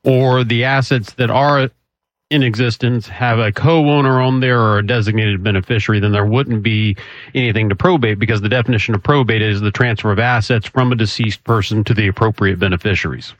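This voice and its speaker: A man hosting a call-in radio talk show, brisk, chatty and joking. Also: lowish voice, no room sound. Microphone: studio microphone. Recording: slightly dull broadcast audio.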